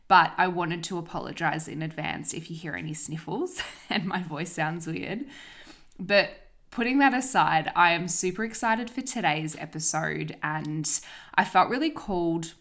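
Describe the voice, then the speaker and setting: A woman's voice: fairly low pitch, normal speed, fairly bright tone. A young woman hosting a solo wellness podcast, chatty and upbeat with casual swearing, a little sniffly from a coming cold. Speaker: podcast host, holistic coach.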